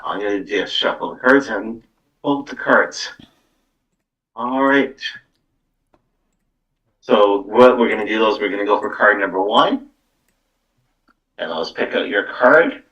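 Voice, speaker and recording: A man's voice: fairly low pitch, lively intonation, unhurried, pauses often, a bit bright. A man hosting a casual live psychic show, rambling along and padding sentences with filler words. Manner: rambling, repeats filler words like actually and though. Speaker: psychic medium podcast host. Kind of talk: casual live card-reading show talk. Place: live online stream. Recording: muffled, distant mic.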